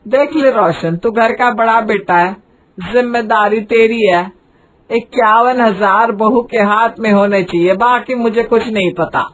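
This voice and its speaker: A woman's voice: on the high side, unhurried, voice pushed up, poor audio. A woman voicing a showy, demanding middle-aged mother-in-law with a commanding, emphatic manner in a cartoon story. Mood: scolding, insistent. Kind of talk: acted cartoon story dialogue.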